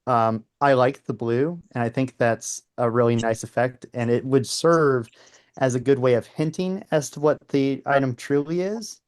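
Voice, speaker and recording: A man's voice: mid-range voice, normal speed. A man at a camera club offering thoughtful, constructive suggestions about cropping a photo. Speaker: camera club member giving critique. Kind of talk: casual critique chat.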